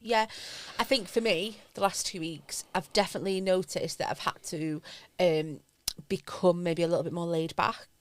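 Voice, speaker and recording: A woman's voice: lowish voice, steady tone, moderate pace. A woman, co-hosting a casual couple's podcast, teasing and asking questions. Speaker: podcast co-host.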